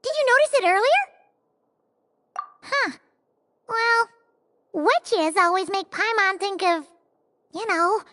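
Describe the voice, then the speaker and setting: A woman voicing a tiny, excitable, childlike fairy companion in a video game, blunt and exclamatory. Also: very high voice, takes it slowly, pauses often, heavily acted. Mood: curious, puzzled. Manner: refers to self in third person, exclamatory.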